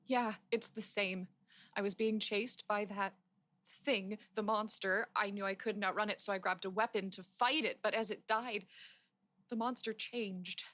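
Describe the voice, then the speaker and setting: A woman's voice: medium pitch, steady tone, unhurried, fairly bright tone, no echo, natural voice. A woman playing a troubled, defiant young patient in a radio drama, with a wounded, accusing manner. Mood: haunted. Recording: clear background noise.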